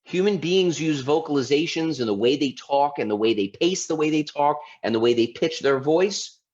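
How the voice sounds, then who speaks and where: A man's voice: a little high, animated, moderate pace, darkish tone. Chatty man lecturing, slightly muffled. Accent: Baltimore, mostly suppressed. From Baltimore, United States. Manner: conversational, with frequent restarts and rhetorical questions. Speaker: lawyer presenting a talk. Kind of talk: informal presentation. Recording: slightly dull lo-fi audio.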